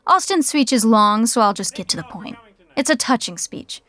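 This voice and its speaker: A woman narrating a scripted sitcom podcast with wry, deadpan asides. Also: a little high, moderate pace.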